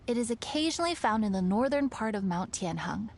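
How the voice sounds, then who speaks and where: An actress playing a composed, courteous young merchant woman in a video game, explaining things in a measured way. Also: a little high, animated, a little mellow, slight background noise. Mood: polite, instructive. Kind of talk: scripted video game dialogue.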